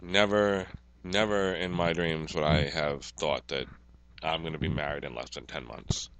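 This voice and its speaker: A man chatting casually as a podcast co-host, in a loose, halting conversational way. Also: very deep voice, steady tone, a bit bright, a little hiss or hum, rough recording. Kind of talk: casual banter.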